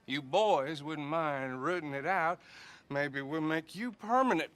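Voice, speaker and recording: An actor playing a tipsy, folksy, courteous small-town sheriff in a video game cutscene. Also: male voice, on the high side, unhurried, a bit bright, clean tone.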